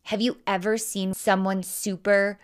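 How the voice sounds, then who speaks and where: A woman's voice: medium pitch, flat delivery, unhurried, clean tone. A woman with a Midwestern American accent hosting a podcast monologue, speaking candidly and informally with emphatic, persuasive delivery. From Wisconsin, American Midwest. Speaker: life coach, podcast host.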